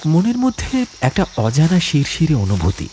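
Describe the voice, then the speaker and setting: A man's voice: fairly high pitch, normal speed, slight background noise. A man narrating a scripted ghost story as an audiobook, in a suspenseful, dramatic manner. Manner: suspenseful, expressive.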